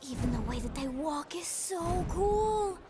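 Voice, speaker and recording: A young woman playing an excitable, geeky mech enthusiast in a video game, with a chatty, informal manner. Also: extremely high pitch, unhurried, very noisy. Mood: gushing, starstruck. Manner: gushing, informal, bubbly. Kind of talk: English video game cutscene acting.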